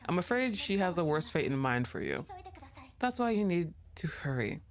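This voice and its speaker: A woman voicing a kind, secretive young female game character, reading the lines calmly and quietly. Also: lowish voice, normal speed. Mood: confiding. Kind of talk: reading game dialogue aloud.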